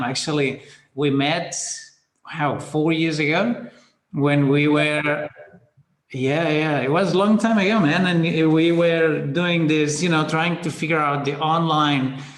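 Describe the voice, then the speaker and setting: A man hosts a live webcam interview from a large room, his voice fairly high and a little roomy. He talks in an upbeat, chummy way, calling his guest brother. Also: a bit bright. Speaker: host. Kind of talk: casual live video-call interview. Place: indoors, large room with staircase. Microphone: laptop webcam video call.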